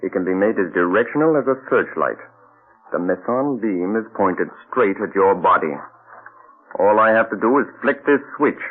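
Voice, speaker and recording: An actor playing a cold, measured male mad scientist who threatens quietly, in an old radio drama heard as a tinny broadcast. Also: on the low side, lively intonation. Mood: menacing. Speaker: villain inventor. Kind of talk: 1940s radio acting.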